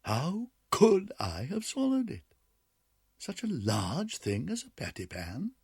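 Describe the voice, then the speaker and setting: A man's voice: on the high side, wildly swooping pitch, slow, darkish tone, husky, dry recording, clean studio sound, voice pushed up, full character voice. A man doing a whining, panicky lady dog. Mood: fretful. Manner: whining, melodramatic. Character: anxious, greedy female dog guest.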